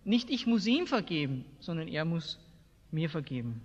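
An Austrian-accented man preaches in a high voice that rings through a reverberant hall. His delivery is blunt and emphatic, in everyday colloquial speech. He often puts rhetorical questions to his listeners. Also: big pitch swings, moderate pace, highs cut off. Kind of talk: colloquial evangelical sermon. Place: large hall, preaching to a seated congregation. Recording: echoey hall with long reverb.

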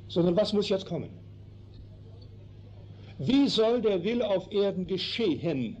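A man from Canada whose first language is German, preaching a slow, emphatic sermon in a chapel that explains Bible verses. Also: high-pitched, darkish tone, husky. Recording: dull lo-fi recording, faint noise.

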